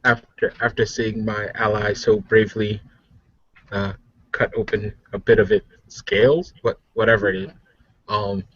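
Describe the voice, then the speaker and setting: A man's voice: on the low side, flat delivery, unhurried, a bit bright, slightly rough, dry recording, lo-fi sound. A man playing a tabletop roleplaying game, teasing and swearing in casual banter.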